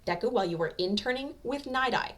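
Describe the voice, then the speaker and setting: A woman voicing a brash, impatient young male anime hero in a fan fiction reading, with a gruff, bossy, profane way of talking. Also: a little high, animated, fast talker, a bit bright, pitched above the performer's own voice. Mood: urgent, bossy.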